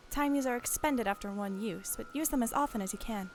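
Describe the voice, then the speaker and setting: A woman's voice: fairly high pitch, fairly quick, darkish tone, faint music behind. A woman hosting a casual game stream, informal and sweary as she thinks aloud while playing.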